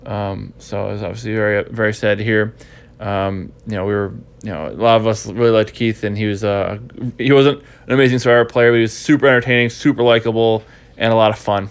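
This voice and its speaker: A man's voice: fairly low pitch, fairly even intonation, fairly quick, gravelly, clear, resonant tone. A stuffy, congested man hosting a casual podcast recap, rambling and repeating himself as he thinks. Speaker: podcast host.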